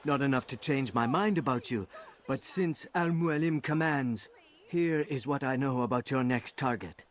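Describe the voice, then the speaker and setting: A man's voice: mid-range voice, moderate pace, lightly acted. A man voicing a sneering, resentful assassin rival with a sarcastic, condescending manner in a video game. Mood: grudging.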